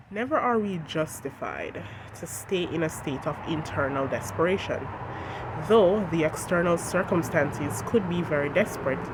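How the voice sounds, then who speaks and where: Congested speaker, earnest talk over street noise. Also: mid-range voice. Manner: earnest, direct, addresses viewers as guys. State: congested from a cold. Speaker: host of a devotional channel. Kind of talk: encouraging devotional talk with scripture readings. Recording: noisy outdoor traffic background.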